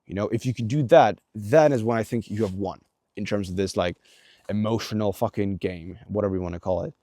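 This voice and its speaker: A young man talks through a casual self-help monologue in a lowish, mellow voice, blunt and profane, with lots of fillers and restarts. The sound is dry and close, with no room ring. He coaches the listener in an earnest tone. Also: brisk, no echo. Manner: blunt, heavy swearing, many fillers and restarts; earnest, coaching tone aimed at viewer. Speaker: self-improvement vlogger.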